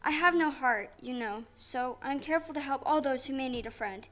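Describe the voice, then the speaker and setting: Woman playing a high-voiced, kind tin woodman. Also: fairly quick, a bit bright. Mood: courteous. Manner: courteous, earnest, exclamatory. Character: kind, gentle tin woodman, courteous and earnest. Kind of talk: stage audio play acting. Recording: live stage recording with music.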